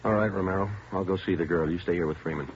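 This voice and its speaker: An actor playing a terse police detective interrogating suspects in a 1950s radio drama, clipped and matter-of-fact. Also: male voice, low-pitched, fast, lightly acted. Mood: firm. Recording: dull old radio broadcast with faint hiss.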